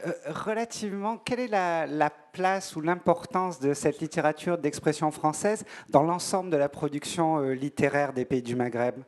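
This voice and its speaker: A man poses polite, carefully phrased questions to a guest speaker. His voice sits a little higher than usual for a man. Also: moderate pace, hardly any pauses, darkish tone. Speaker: interviewer. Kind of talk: conference interview questions.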